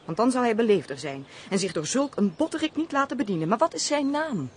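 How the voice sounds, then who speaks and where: A woman's voice: on the high side, very animated, fast talker, a little hiss or hum. Pert, swooping theatrical servant girl. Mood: indignant, taunting. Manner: theatrical, chatty, sharp-tongued. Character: Lotje, pert, haughty female castle servant, easily offended. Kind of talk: Dutch radio play acting.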